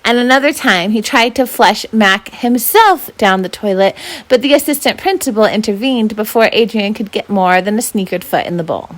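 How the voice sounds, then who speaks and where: A woman reads a children's novel aloud as a volunteer storyteller. Her pitch is average for a woman, and her narration is expressive, told in the first person. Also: normal speed.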